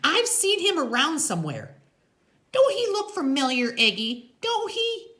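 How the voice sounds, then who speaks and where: A pushy, squinting biker's woman from an audio novel, put on by a woman reader. She talks high and slangy, needling and excitable, with big swoops in pitch. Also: measured pace, full character voice. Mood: suspicious. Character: squinting, chain-smoking biker's woman, pushy but cowed.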